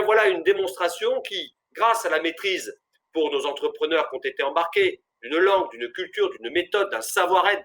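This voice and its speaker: A man's voice: a little high, sharp tone. A man from France speaking on a business panel, emphatic, building his points as lists. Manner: emphatic, list-like rhetoric. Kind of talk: conference panel talk.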